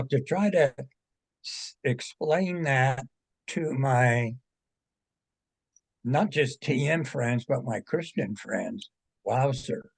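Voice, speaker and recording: A man from the audience asking a long question over a video call, halting and searching for his words. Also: mid-range voice, dry recording.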